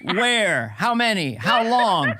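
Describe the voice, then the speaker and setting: A man's voice: high voice, big pitch swings, heavy background noise. A man hosting a podcast in a playful, teasing manner, his voice echoing on the line. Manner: playful, teasing, rapid-fire questions. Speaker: podcast host. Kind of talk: casual chat. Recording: echoey line.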